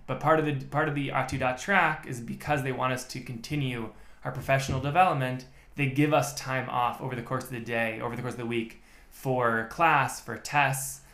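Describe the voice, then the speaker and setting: Guy in his 30s, talks real quick, lots of restarts, echoey room. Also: medium pitch, sharp tone. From United States. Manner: fast, methodical storyteller with frequent restarts. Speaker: podcast guest. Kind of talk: casual interview chat.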